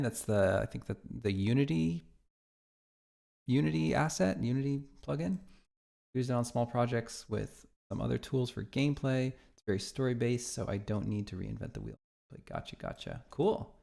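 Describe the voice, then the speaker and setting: A man's voice: mid-range voice, gravelly, a little mellow. A man streaming his game development live, chatting casually, thinking aloud and reacting to viewer chat.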